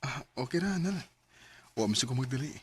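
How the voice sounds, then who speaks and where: A man's voice: medium pitch, expressive, fairly quick, slightly rough. A man with a Filipino Cebuano accent playing a sincere, devoted sweetheart in a sentimental radio drama. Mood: earnest, courting. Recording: radio drama with music underneath.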